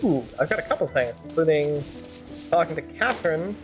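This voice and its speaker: A woman streaming a game, chatting casually and wryly in halting, improvised commentary. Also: low-pitched, animated. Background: game music.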